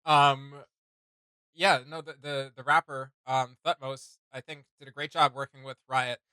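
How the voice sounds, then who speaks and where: A man's voice: mid-range voice, steady tone, a little slow, bright, forceful tone, clean tone, dry recording. A man hosting a reaction video with casual, chatty commentary. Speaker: reaction channel host.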